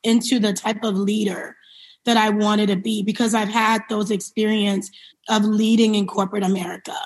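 A woman's voice: mid-range voice, level tone, moderate pace. A woman who grew up in New York City, answering in a conversational interview as a company founder, reflective and explanatory. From born in Liberia, grew up in New York City.

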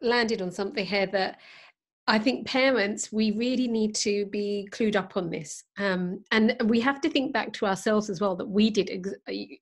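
A woman's voice: mid-range voice, moderate pace. A woman with a British accent, a podcast host talking casually and agreeably, with hesitant, self-correcting asides. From United Kingdom. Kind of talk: casual conversational interviewing.

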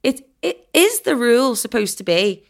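Gravelly-voiced woman chatting casually. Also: fairly high pitch, sing-song, normal speed. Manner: rambling, hesitant, laughs often. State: hungover. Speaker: co-host. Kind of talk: casual chat.

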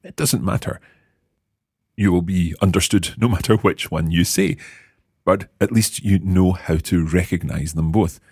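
A man's voice: low voice, slightly rough, no room sound, clean studio sound. A man hosting a language lesson, explaining phrases patiently and quizzing his co-hosts. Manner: patient, lively, repeats phrases slowly. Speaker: language teacher and host.

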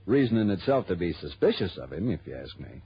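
A man's voice: low voice, sing-song, fast talker, breathy edge, full character voice. An actor playing a businesslike, suspicious insurance office man in a 1960s radio drama, emphatic and explanatory. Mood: suspicious, explaining. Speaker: supporting actor. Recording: dull old radio broadcast recording.

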